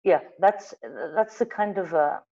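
Middle-aged Icelandic woman, lively anecdotal guest, mid pitch, slightly dull video-call sound. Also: measured pace. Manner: animated storyteller, gestures, restarts and self-corrections. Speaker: magazine editor, guest. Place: room with wall of photos. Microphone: earbud headset mic on video call.

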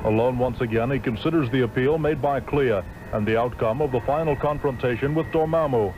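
A man's voice: mid-range voice, a little fast, slight background noise, natural voice. Man as lo-fi solemn radio serial narrator. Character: solemn male storyteller of a superhero radio serial. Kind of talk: dramatic scripted narration, 1960s radio. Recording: dull lo-fi old radio, faint music.

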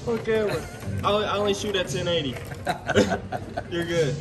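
A man's voice: high-pitched, moderate pace, music in the background. A young man filming a casual vlog at a barber school and a gym, teasing and laughing as he asks classmates questions on a handheld phone. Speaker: vlogger and barber student. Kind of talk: casual joking vlog chat. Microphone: handheld phone camera.

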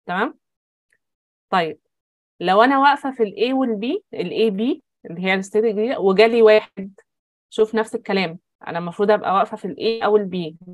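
A woman's voice: mid-range voice, no room sound. A woman with an Egyptian accent, explaining a compiler lesson step by step on a video call. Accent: Egyptian Arabic. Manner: explains step by step, thinking aloud. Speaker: teaching assistant. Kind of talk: online lecture.

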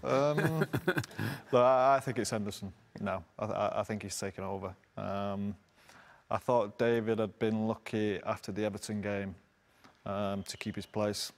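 A man in his forties with a somewhat low voice gives football analysis from a studio panel. He is halting, often repeating words while he gathers his thoughts. He is recorded on a lapel mic in a television studio. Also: fairly even intonation. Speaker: studio pundit. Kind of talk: post-match football analysis.

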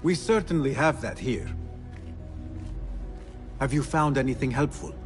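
An actor playing an earnest young assassin apprentice in a video game, speaking politely with a questioning, curious manner. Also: male voice, medium pitch, lively intonation, unhurried, over music, close to an everyday voice. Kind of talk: cinematic video game acting.

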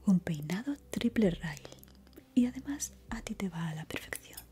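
A young woman whispering softly and breathily in a slow, soothing ASMR roleplay as a barber. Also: mid-range voice, lively intonation. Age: young adult.

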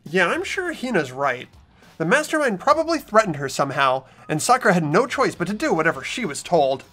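A man's voice: high voice, very animated, brisk, bright, forceful tone, soft background music, heavily acted. A man voicing an earnest, trusting teenage boy, the protagonist of a visual novel game, speaking insistently.

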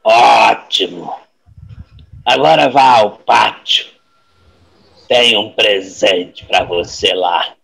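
Man playing a slow, gravelly crime boss. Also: fairly low pitch, fairly bright tone, exaggerated voice, rough recording. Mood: commanding. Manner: slow, deliberate, authoritative. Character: menacing male crime boss mentor, commanding and calculating. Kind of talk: audio drama acting.

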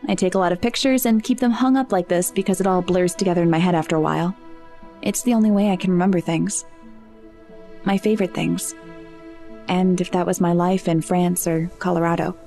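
Woman playing nervous lonely teen girl in an audio drama, halting and self-deprecating, faint music behind. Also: on the low side, steady tone, warm tone, lightly acted. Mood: wistful, resigned. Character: anxious, lonely seventeen-year-old girl. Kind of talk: naturalistic audio drama acting.

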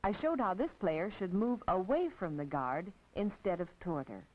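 An American woman narrating an instructional film as a teacher, in a precise, didactic, scripted manner with measured phrasing. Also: fairly low pitch, lively intonation. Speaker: narrator and physical education instructor. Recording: tinny, band-limited 1950s film soundtrack.

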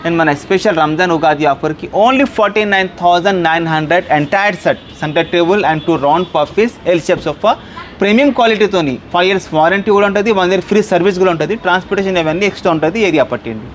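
A man in his thirties with a Hyderabad Telugu accent gives an unscripted furniture sales pitch, insistent and repetitive, peppered with English words. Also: fairly quick. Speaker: furniture shop owner and salesman. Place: large indoor furniture showroom. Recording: clear background room noise.

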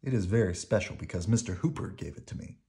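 A calm, kindly storekeeper, read by a man. He speaks as an everyday grown-up in a children's storybook. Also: sits low, fast, vocal fry.

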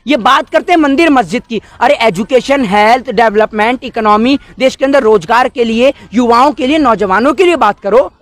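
Very high-pitched man, twenties, indignant political street interview, close dry handheld mics. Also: normal speed, hardly any pauses, a bit bright, no echo. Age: 20s. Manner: indignant, argumentative, rhetorical questions. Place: outdoors by a wall. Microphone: cluster of handheld news microphones held close.

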